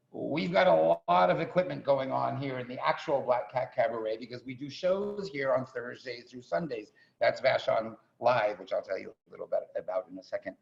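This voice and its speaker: A man hosting a show with an informal welcome speech, halting and often repeating his words. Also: mid-range voice, gravelly. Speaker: host introducing a theatre show. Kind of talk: informal welcome speech with thanks and shout-outs. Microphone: video call. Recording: muffled video call audio.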